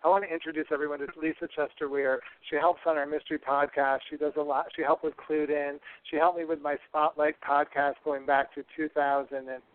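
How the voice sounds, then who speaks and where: A man with a slightly high voice hosts a casual live podcast. He talks in a chatty, run-on manner, and the sound is narrow, like a phone line. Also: flat delivery, brisk, hardly any pauses. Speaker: podcast host. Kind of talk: casual live show chat, announcements.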